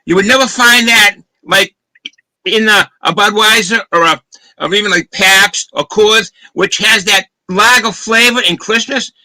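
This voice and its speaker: A man with a thick Boston accent talks through a beer's flavor in careful, descriptive detail. His voice sits high and very bright, with a sharp edge. The sound is dry and close over a video call. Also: dry recording, poor audio. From Boston, Massachusetts. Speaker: beer reviewer, co-host. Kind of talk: casual chat, beer tasting review.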